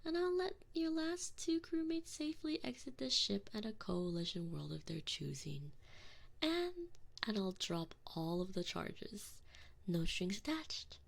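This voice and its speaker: Woman playing a creaky, sadistic alien enforcer woman. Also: mid-range voice, sing-song, measured pace, exaggerated voice. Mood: seductive, domineering. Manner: taunting, sweetly menacing, theatrical, breaking into giggles. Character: sadistic, gleeful, possessive female alien enforcer. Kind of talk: ASMR roleplay acting. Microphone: close binaural microphone.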